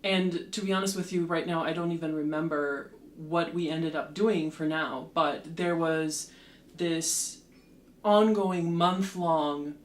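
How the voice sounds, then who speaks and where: Woman, unhurried delivery, mid-pitched, faint hum behind, thoughtful interview guest. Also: fairly even intonation. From Slovakia. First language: Slovak. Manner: measured, with frequent ums and restarts. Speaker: translator and lecturer, guest. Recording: roomy echo with faint background hum.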